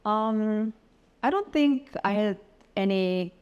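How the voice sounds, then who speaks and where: A middle-aged woman from South Korea, whose first language is Korean, talks as a guest in a home interview. She speaks with halting pauses and many fillers. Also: medium pitch, expressive, a little mellow, clean tone, dull audio. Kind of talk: casual interview. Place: home studio.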